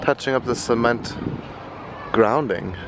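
A man's voice: medium pitch, a little slow. An enthusiastic American man in his twenties chatting and rambling casually as a travel vlogger. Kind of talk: casual vlog chat. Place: walking around a resort, mostly outdoors. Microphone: handheld camera at arm's length. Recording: very noisy outdoor resort crowd and traffic.

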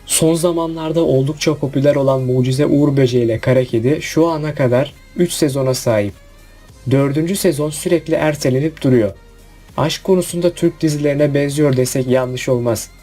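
Mellow man narrating a review. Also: a little high, a little fast. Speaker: video narrator. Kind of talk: scripted countdown review narration. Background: background music.

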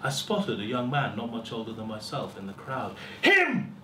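A man's voice: fairly low pitch, fairly bright tone. Theatrical male storyteller, recorded from across a room. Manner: theatrical, deliberate, with pauses and swelling emphasis. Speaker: story reader at a live festival. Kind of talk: dramatic first-person story reading. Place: small lecture room with seated audience. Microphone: far camera. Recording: roomy, distant camera mic, faint hiss.

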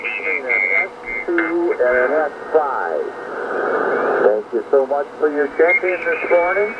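Slow-talking man through heavy radio noise. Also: fairly high pitch, big pitch swings. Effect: two-way radio. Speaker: radio net operator. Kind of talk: amateur radio signal report. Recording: dull radio speaker under very loud static.

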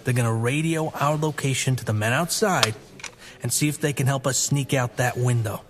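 An actor playing a tough, tenacious, commanding police commissioner in an audio drama. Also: male voice, mid-range voice, normal speed, a crowd in the background, lightly acted. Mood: brisk, firm. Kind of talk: full-cast audio drama acting.

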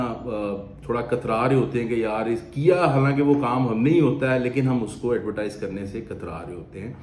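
A man's voice: mid-range voice, darkish tone, slight background noise. A man in his forties with a Pakistani Urdu accent, seated at an office desk and earnestly explaining renovation advice to camera in a conversational way. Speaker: renovation company owner. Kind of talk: direct-to-camera advice talk. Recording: echoey room with faint ambience.

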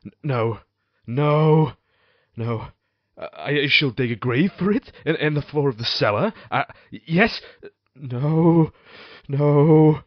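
A brooding, haunted husband with a violent temper, acted by a man, tells his horror tale in halting, archaic phrases. He takes his time, dramatic and theatrical. The recording is dry and somewhat dull. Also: on the high side, no echo. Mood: frantic scheming. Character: tormented, violent-tempered married man, Gothic horror narrator. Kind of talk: theatrical Gothic audio drama monologue.

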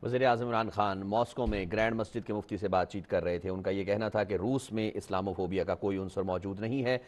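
A man's voice: fairly low pitch, very fast. A male news reporter reading a formal voice-over report.

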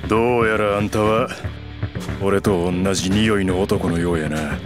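A brooding, battle-hardened game brawler with a Kansai accent. The voice is deep, gruff and stubborn. Music plays clearly behind the blunt lines. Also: male voice, steady tone, measured pace. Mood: grimly confident. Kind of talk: dramatic video game cutscene acting. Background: background music.

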